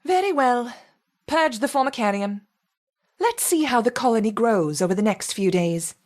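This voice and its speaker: A woman's voice: a little high, lively intonation, normal speed, a little mellow. A woman playing an authoritative lead scientist in a video game, with a clipped, commanding manner. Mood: resolute. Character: authoritative female lead scientist overseeing experiments. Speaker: research supervisor character. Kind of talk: video game character acting.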